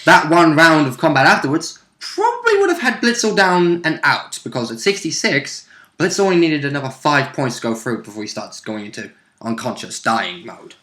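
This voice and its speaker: A man's voice: on the high side, big pitch swings, normal speed, sharp tone. A man casually discussing a tabletop game as one of its players. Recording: echoey room sound.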